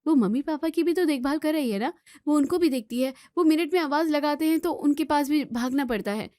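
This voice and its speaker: Actress as young student daughter, outspoken and argumentative, highish pitch, dry recording, family drama. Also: female voice, brisk, warm tone, dry recording, lightly acted. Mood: indignant, reasoning. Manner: scolding, argumentative, emphatic rhetorical questions. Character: outspoken young student daughter defending her sister-in-law. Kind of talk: Hindi family drama voice acting.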